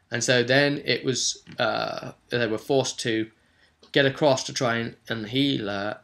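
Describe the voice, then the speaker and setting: Bright, forceful man recapping a tabletop game. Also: on the low side. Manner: chatty, emphatic, with frequent asides. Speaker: game master recapping a tabletop role-playing session. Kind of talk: casual vlog recounting of a game.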